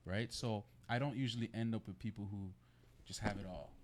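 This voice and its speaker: A man answers questions as a guest on a talk show. His voice is a little low, and his delivery is casual and slangy, with frequent checks that the listener agrees. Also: brisk, background noise. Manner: informal, slangy, seeks agreement with "right?". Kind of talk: casual conversational interview answers.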